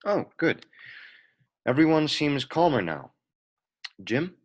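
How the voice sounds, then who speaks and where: A man acting a mild, courteous reverend in a play readthrough, polite and unhurried. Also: a little high, very animated, no room sound, heavily acted. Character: mild, courteous male reverend chaperoning a trip. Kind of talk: play readthrough, dramatic dialogue.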